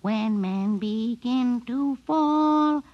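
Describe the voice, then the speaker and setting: Little eerie toy doll, really high, drags words out very slow, old radio play. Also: female voice, muffled recording. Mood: eerie sing-song. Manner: repetitive, mechanical, drawn-out. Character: tiny mechanical talking male doll, eerie and sing-song. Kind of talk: 1950s radio drama acting.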